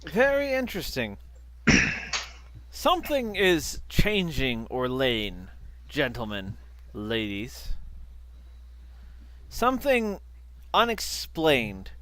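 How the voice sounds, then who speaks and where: A man's voice: mid-range voice, wildly swooping pitch, a bit bright, no echo, exaggerated voice. A man playing a cryptic village mayor. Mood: ominous. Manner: hesitant, drawn-out hints. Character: male village mayor, guarded and ominous. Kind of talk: tabletop game voice acting.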